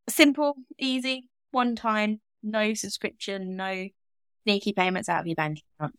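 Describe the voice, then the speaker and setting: A woman's voice: mid-range voice, no echo, clean studio sound. A British woman in her thirties co-hosting a podcast in casual, teasing, chatty banter. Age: 30s. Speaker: podcast co-host. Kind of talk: casual banter and true crime discussion.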